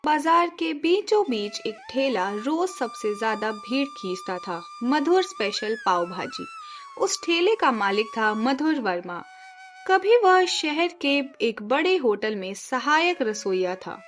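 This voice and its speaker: A woman's voice: sits high, huge pitch swings, slow pace. A woman narrating a scripted children's story as a storyteller. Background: background music.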